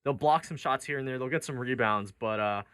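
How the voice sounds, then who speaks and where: A man's voice: mid-range voice, slow pace, a bit bright, clear, resonant tone, dry recording, clean studio sound. A man hosting a sports radio show, talking conversationally about basketball. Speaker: sports talk show host.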